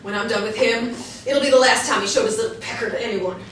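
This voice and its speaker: A woman's voice: fairly high pitch, steady tone, fast, a bit bright, lightly acted. A woman playing a vain, hot-tempered rich hostess, emphatic and indignant, in a stage play reading. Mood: furious. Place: small indoor room, seated with scripts. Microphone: distant camera. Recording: echoey room, distant mic, faint noise.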